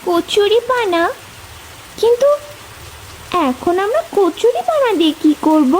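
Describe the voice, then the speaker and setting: A woman's voice: extremely high pitch, sing-song, slow pace, darkish tone, a little hiss or hum, heavily acted, low-quality recording. A young woman playing an earnest, determined, tender-hearted village girl in a cartoon, with emotive delivery. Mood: puzzled. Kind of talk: cartoon voice acting.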